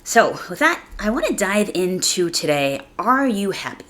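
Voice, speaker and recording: A woman hosting a casual motivational podcast, speaking conversationally and earnestly, slightly raspy from a chest cold. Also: mid-range voice, very animated, moderate pace, fairly bright tone. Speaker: podcast host.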